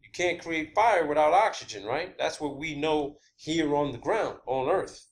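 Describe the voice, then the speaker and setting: A man hosting a video, giving a rambling, unscripted explanation of his own theories in a halting, emphatic, blunt and casual way, repeating key words. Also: on the high side, expressive. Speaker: video channel host. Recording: clear background ambient noise.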